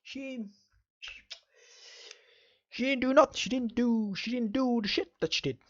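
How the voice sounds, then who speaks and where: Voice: medium pitch, unhurried. A let's play host with a middle-register voice of unclear sex, speaking through a dull, lo-fi microphone. The commentary rambles along emphatically, with phrases repeated and a mock-stern delivery. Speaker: video game streamer and host.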